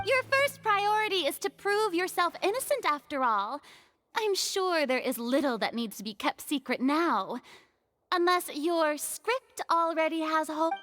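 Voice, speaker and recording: A woman's voice: extremely high pitch, expressive, moderate pace, a bit bright. A woman playing a smug, grandstanding young female courtroom accuser in a video game, theatrical and pompous. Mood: probing. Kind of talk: dramatic video game voice acting. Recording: music behind the voice.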